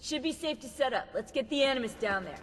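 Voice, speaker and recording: Upbeat gadget girl in a game, excited casual talk, big reverb, bit of background noise. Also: high voice, fast. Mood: impressed. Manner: enthusiastic, informal. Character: upbeat, eager female tech expert of an assassin team. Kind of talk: video game cutscene acting. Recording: long hall reverb, faint noise.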